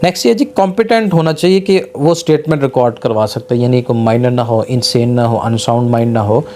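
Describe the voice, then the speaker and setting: A man's voice: medium pitch, fairly quick, warm tone. A man with a Pakistani accent teaching law in an informal lecture, explaining step by step and rephrasing key points. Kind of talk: informal law lecture mixing Urdu with English legal terms.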